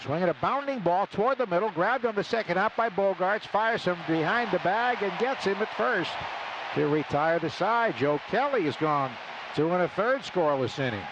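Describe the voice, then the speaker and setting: Older American male play-by-play voice, fairly high, conversational storyteller, live booth with noisy stadium background. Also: lively intonation, moderate pace, dull audio. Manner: conversational, storytelling between pitches. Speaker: baseball play-by-play announcer. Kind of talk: live radio sports commentary. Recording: live radio booth with loud stadium ambience.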